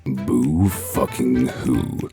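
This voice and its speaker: A man voicing a gruff, blunt wolf detective in human guise, curt and sardonic, for a comic dub. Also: extremely low pitch, extremely slow, mellow, faint music behind, full character voice. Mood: mocking. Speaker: investigator. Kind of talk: comic dub character acting.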